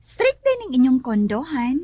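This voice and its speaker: A woman's voice: high-pitched, very animated, normal speed, mellow, no room sound. A woman playing a boss's young girlfriend in a radio drama. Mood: agreeable. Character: young girlfriend of a wealthy boss. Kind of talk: Filipino radio drama acting.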